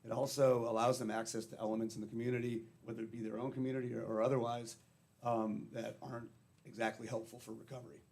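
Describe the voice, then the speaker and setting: A man, slightly hoarse today, speaking bluntly as a treatment facility director at a public county meeting. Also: mid-range voice, little pitch movement, quick pace, a little mellow. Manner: blunt, plain-spoken.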